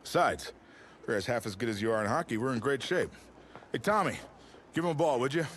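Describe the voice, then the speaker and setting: An actor playing a folksy, encouraging high school football coach in a game cutscene, wry in manner, with ambient noise behind. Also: male voice, animated, fast, fairly bright tone, natural voice.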